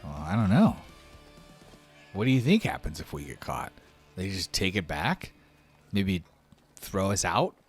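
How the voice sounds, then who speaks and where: A man's voice: low voice, very animated, unhurried, pauses often, husky, soft background music, exaggerated voice. A man playing an earnest, hesitant small youngster who pleads his case in a tabletop game. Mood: reassuring.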